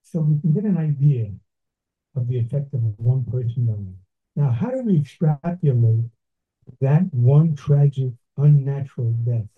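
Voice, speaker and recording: An older man from Brooklyn, giving a slow, deliberate, reflective talk with pauses. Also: mid-range voice, animated, slightly rough. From Brooklyn, New York. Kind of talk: measured reflective address. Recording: tinny phone-like sound.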